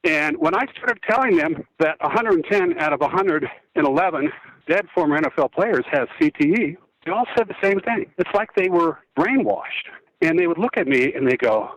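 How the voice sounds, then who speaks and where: An older American man with a fairly high voice that leaps up and drops low as he speaks with preachy conviction. He moves between rising outrage and quiet sorrow. The sound is close and dry, with no room around it. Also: sing-song, normal speed, dry recording, highs cut off. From United States. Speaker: guest, former football quarterback. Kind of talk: phone-in interview talk.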